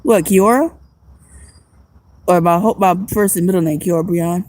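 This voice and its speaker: A woman's voice: fairly low pitch, normal speed, a little mellow, no room sound. A woman who is a homeless vlogger and music producer, chatting casually and rambling in slang on a phone livestream outdoors at night.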